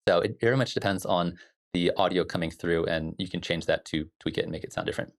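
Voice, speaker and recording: A man giving a casual software tutorial as a music production instructor, explaining things in an informal way. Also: low-pitched, steady tone, quick pace, vocal fry.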